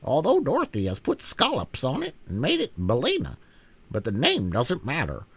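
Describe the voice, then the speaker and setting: A man putting on a sharp-voiced bossy hen. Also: medium pitch, wildly swooping pitch, creaky, slightly rough, no echo, pitched above the performer's own voice, full character voice. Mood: brisk. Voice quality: sharp, brisk.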